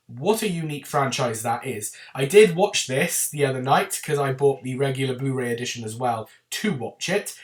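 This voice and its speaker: A man's voice: medium pitch, far from the mic. Bunged-up man reviewing films. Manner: chatty and rambling, with many ums and apologies. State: bunged up and slightly rough from a winter illness. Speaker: YouTube channel host. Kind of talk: casual film review to camera.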